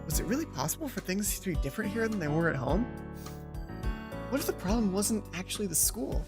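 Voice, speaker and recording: A woman's voice: medium pitch, lively intonation, brisk, over loud music, close to an everyday voice. A woman voicing a shy, self-doubting teenage transfer student in a visual novel game, hesitant and earnest. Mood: wondering.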